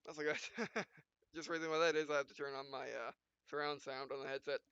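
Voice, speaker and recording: High bright man reading a game aloud. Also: steady tone, brisk, clear, resonant tone, dry recording, muffled recording. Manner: reads punctuation aloud in a mock-dramatic way. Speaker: let's play gamer. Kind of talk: reading game text aloud with casual commentary.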